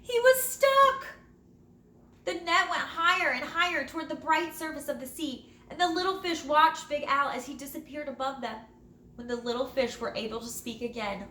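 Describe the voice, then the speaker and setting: A woman reads a children's picture book like a teacher to her pupils. Her voice is fairly high and bright, with dramatic, drawn-out phrasing. The recording is roomy and echoey. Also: expressive. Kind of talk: animated children's storybook read-aloud. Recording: roomy echo, light background noise.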